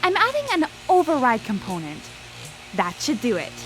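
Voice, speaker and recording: A woman's voice: sits high, wildly swooping pitch, normal speed, a bit bright, soft background music, full character voice, poor audio. A woman acting an obsessive female scientist in a radio drama, pleading with her creation in a theatrical manner. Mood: soothing, scheming.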